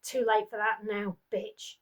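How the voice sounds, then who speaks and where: A woman reading aloud, voicing a brutal, sneering abusive husband who taunts in a loud, snarling way. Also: medium pitch, flat delivery, unhurried, lightly acted.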